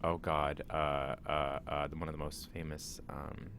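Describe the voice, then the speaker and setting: A man's voice: a bass voice, little pitch movement, normal speed, fairly bright tone, slight background noise. A man co-hosting a radio talk show who keeps up bawdy, joking asides in casual chat. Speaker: co-host.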